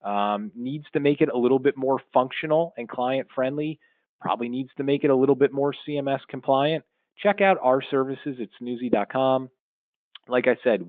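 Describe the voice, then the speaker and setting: A man's voice: mid-range voice, dry recording. A middle-aged man giving an informal advice podcast monologue in an explanatory, conversational way, leaning on his key points. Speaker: podcast host and marketing consultant. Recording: muffled, narrow phone-like sound, dry.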